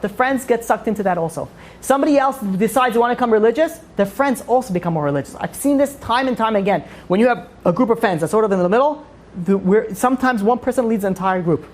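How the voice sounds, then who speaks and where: Rapid-fire woman teaching a religious class. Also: mid-range voice, darkish tone. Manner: emphatic, asks and answers own questions. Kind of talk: informal religious lecture to a group.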